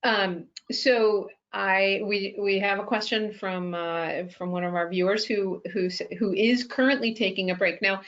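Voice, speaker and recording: A woman's voice: medium pitch, unhurried, few pauses, a bit bright, dull audio. A woman hosting a webinar interview, asking long questions with many ums. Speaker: webinar host. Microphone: video call.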